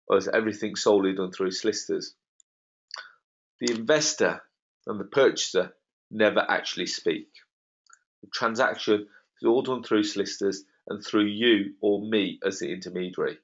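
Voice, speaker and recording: Guy a bit croaky, pushy sales pitch on a webinar, lowish voice, muffled lo-fi call audio. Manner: confident, salesy, emphatic. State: slightly croaky. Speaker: webinar host. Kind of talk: online property webinar talk. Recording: dull lo-fi web call audio.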